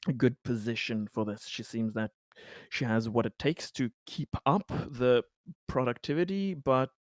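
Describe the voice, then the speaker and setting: A man playing a tabletop roleplaying game, improvising an advisory report in a hesitant, halting manner. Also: medium pitch, no echo.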